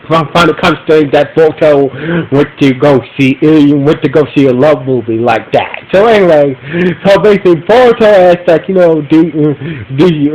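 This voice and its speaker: A congested, stuffy-nosed man recaps an episode for his podcast, circling back and repeating himself as he goes. His voice sits a little high for a man. A faint fan hum runs behind him in his room at home. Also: darkish tone, low-quality recording. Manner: rambling, repeats phrases and restarts often. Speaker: podcast host reviewing an episode. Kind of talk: casual spoken episode recap. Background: humming fan.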